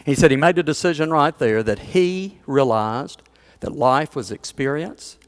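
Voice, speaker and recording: Male pastor, conversational storyteller in the pulpit, chuckling asides, mid-pitched voice. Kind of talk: sermon.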